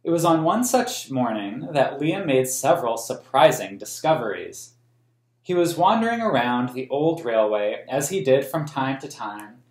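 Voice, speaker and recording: An American man in his thirties reading a picture book aloud to children in a gentle, explanatory way. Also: medium pitch. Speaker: museum story time host. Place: small plain room indoors. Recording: roomy indoor echo.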